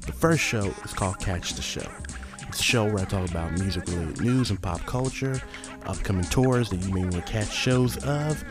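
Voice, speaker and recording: A man delivers a casual podcast promo, talking straight to the listener as a host does. His pitch is on the lower side of average for everyday speech, and music plays clearly behind him. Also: expressive, normal speed.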